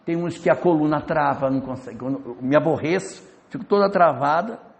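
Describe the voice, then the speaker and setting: A man giving a spiritist lecture in a lecture hall, telling stories in a lively way and acting out the dialogue. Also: on the high side, a little fast, gravelly. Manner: storytelling, lively, acts out dialogue. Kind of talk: religious lecture. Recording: reverberant hall.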